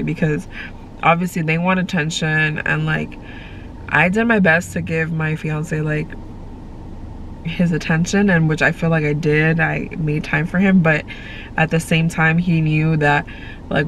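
A woman's voice: deep voice, low-quality recording. A congested young woman vlogging in a parked car, chatting in a rambling way with many fillers, recorded on a phone held at arm's length. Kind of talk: casual chat to camera. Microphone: phone camera at arm's length. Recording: faint background noise in a car.

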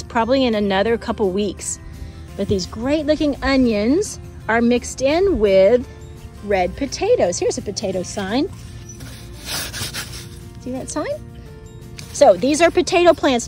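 A woman's voice: a little high, a little mellow. A woman leading a school garden tour for children outdoors, pointing things out and explaining plants in a friendly teaching way, recorded on a handheld phone. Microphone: phone camera held by the speaker.

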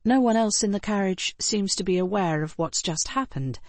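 A woman reading scripted first-person fiction as an audiobook narrator, in an expressive, dramatic way. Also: mid-range voice, moderate pace, no room sound.

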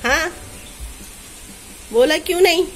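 A woman's voice: very high voice, slow, faint music behind. A woman in her thirties with a sore throat, chatting and laughing as she films her home on a phone. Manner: chatty, laughs often, talks to the viewers. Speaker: vlogger. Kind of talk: casual home vlog chat. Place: apartment by a window, rain outside. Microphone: handheld phone camera. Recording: phone recording with loud rain noise.

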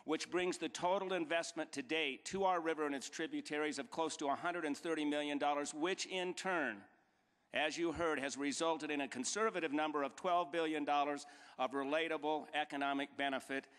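Middle-aged man, formal acceptance speech, emphatic rhetorical lists, fairly high voice, long hall reverb. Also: steady tone, brisk, fairly bright tone. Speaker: award honoree giving acceptance speech. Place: large banquet hall stage. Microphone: podium microphone.

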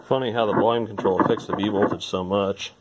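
Low-voiced man musing casually. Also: fairly even intonation, fast, noisy background. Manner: informal, musing aloud. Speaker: hobbyist electronics repairer. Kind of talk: casual hands-on repair commentary. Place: indoor workbench.

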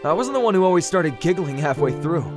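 A resentful, sullen teenage prince, voiced by a man for an audio drama. His delivery moves up and down a lot. Soft music plays underneath. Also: fairly high pitch, very animated, quick pace. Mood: subdued.

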